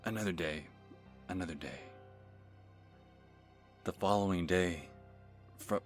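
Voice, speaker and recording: A man playing a nervous, creepy lovestruck stalker telling a first-person horror story in an ASMR manner, halting and stammering as he corrects himself. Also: sits low. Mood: infatuated, dreamy. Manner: halting, stammering, breathy sighs and self-corrections. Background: music.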